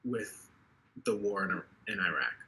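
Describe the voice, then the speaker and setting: A man's voice: low voice, very animated, slow, vocal fry, bright, forceful tone, rough recording. A man in his twenties, an earnest political candidate speaking passionately on a video call, often restarting his sentences. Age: 20s. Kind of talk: interview over video call.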